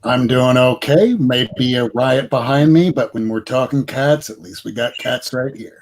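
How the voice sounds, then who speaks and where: A man with a gravelly voice on a live stream panel, wry and dry-humored. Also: mid-range voice, unhurried. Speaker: panel guest.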